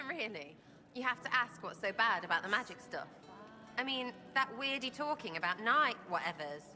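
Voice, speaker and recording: A woman's voice: fairly high pitch, lively intonation, normal speed, pushed and bright, soft background music. A woman playing a brash, irreverent young English commoner in a video game, talking in blunt, choppy slang. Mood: nervous, defiant. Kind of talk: video game character acting.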